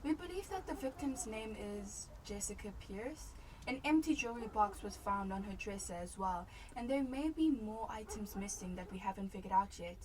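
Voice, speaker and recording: A woman plays a police officer in a radio drama, medium in pitch. She reports formally and factually, a little off the mic, with faint noise behind. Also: expressive, normal speed, a little mellow, recorded from a distance, close to an everyday voice, poor audio.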